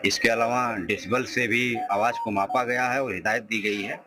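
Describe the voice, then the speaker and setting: A man's voice: medium pitch, fairly even intonation, moderate pace. A man gives a formal, matter-of-fact official statement to the press. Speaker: police official. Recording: noisy on-location interview sound. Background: loud ambient noise.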